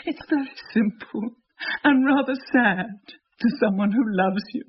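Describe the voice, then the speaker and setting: Blunt, composed wife, high husky voice, deliberate and articulate, radio drama. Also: female voice. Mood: sad, tender. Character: Rachel, cool, candid wife bluntly analysing her husband. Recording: dull old radio drama recording.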